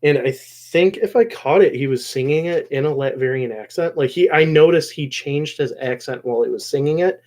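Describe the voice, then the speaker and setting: A man hosting a casual recap discussion of a show episode, rambling along and often pausing mid-phrase. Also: on the high side, darkish tone. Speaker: host of a show discussion video.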